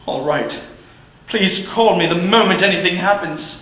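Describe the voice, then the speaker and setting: An actor playing an elderly miser in a radio drama, stammering and swinging between hushed remorse and giddy delight. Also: male voice, high voice, a little slow. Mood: anxious. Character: elderly miser man, shaken and regretful. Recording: echoey, faint hiss.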